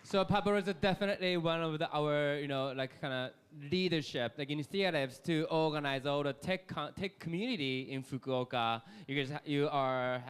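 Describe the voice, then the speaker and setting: A man's voice: on the high side, normal speed, few pauses, slight background noise. Upbeat man moderating a panel. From Japan. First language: Japanese. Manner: upbeat, loose, lots of "like" and "you know". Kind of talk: live panel discussion. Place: hotel event stage.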